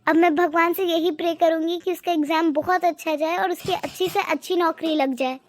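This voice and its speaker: A woman's voice: extremely high pitch, fairly even intonation, brisk, slight background noise. A woman voicing a young housewife in a cartoon, speaking curtly and asking questions. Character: young housewife, curt then remorseful. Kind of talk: cartoon voice acting.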